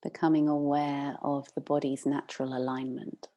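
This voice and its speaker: A woman meditation teacher leading a guided practice, instructing gently and unhurriedly with long pauses. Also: rumbling low, level tone.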